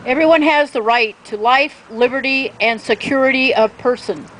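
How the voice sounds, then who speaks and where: Higher-pitched woman reading aloud outdoors. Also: normal speed, fairly bright tone, rough recording. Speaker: reader at a public event. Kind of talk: public reading with paraphrase. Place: outdoor town plaza. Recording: outdoor event with street traffic noise.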